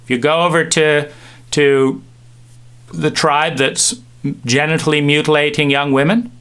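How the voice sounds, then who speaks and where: A middle-aged man giving a reflective talk, musing with pauses and restarts as he tells stories. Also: fairly high pitch, flat delivery, fairly bright tone, clean tone. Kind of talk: reflective monologue with anecdotes.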